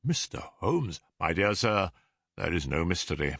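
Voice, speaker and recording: A man's voice: low-pitched, very animated, a little slow, a bit bright, dry recording, studio quality, pitched below the performer's own voice, full character voice. A man reading an audiobook as a hearty young former soldier, blunt, earnest and agitated. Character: big, hearty young male ex-soldier client, bewildered and earnest. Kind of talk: audiobook character dialogue.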